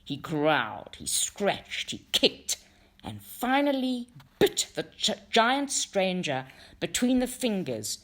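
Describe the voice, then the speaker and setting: Low-voiced woman reading a tale, unhurried and animated. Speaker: reader of a folktale for a book study group. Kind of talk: expressive storybook narration.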